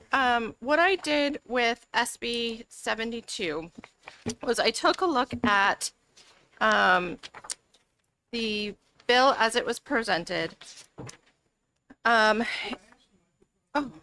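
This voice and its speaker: A woman's voice: on the high side, takes it slowly, pauses often, bright, forceful tone, a little hiss or hum, low-quality recording. A woman legislator presenting an amendment at a committee hearing, speaking earnestly and arguing her case with frequent ums. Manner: earnest, argumentative, many filler ums. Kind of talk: committee testimony.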